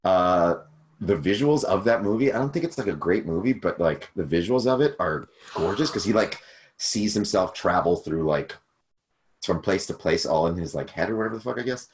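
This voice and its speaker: A man's voice: deep voice, expressive, normal speed. A young man chatting casually over a video call as a podcast guest, rambling and self-deprecating with lots of fillers. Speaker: podcast guest, cover singer.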